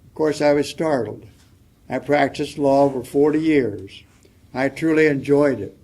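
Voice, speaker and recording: An older man reading a letter aloud as a panelist at a public forum, soft-spoken and reflective. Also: medium pitch, unhurried. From United States.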